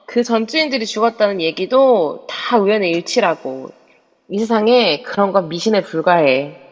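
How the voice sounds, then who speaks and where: A woman's voice: medium pitch, animated, moderate pace, close to an everyday voice. A woman playing an eager, skeptical young woman hunting for a scoop in an amateur audio drama. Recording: dull reverb-heavy online audio.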